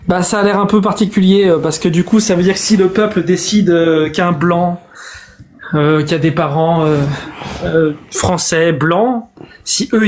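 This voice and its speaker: A man's voice: fairly high pitch, slight background noise. A man taking part in a casual online debate, questioning the guest skeptically and with irony. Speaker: debate participant.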